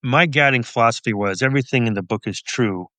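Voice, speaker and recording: A man's voice: medium pitch, fast, bright, forceful tone, no echo. Wry middle-aged man, dry close recording. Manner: thoughtful, self-deprecating, wry. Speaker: podcast guest, police officer and author. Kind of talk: casual interview chat.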